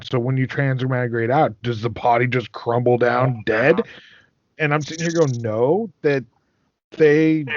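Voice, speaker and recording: A man's voice: medium pitch, no echo. Man chatting on a dull, dry recording. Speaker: podcast host. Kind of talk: casual chat.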